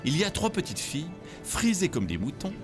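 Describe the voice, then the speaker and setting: A man tells a children's cartoon tale as a theatrical storyteller. His delivery swings widely and vividly in pitch, with music playing underneath. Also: brisk. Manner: expressive, theatrical storytelling with acted voices. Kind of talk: scripted children's story narration.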